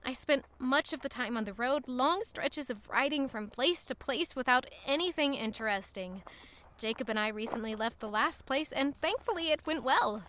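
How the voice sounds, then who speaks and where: Bright, high-voiced guarded young woman. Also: animated, moderate pace, a little hiss or hum. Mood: reflective, calm. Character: guarded young woman traveler, Annie, quietly defiant. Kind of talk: audio drama acting with first-person narration.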